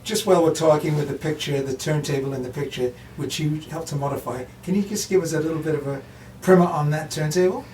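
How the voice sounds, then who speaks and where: A man's voice: fairly high pitch, fast, a little mellow, a little hiss or hum. A man hosting and reviewing audio gear, speaking as an interviewer and reviewer.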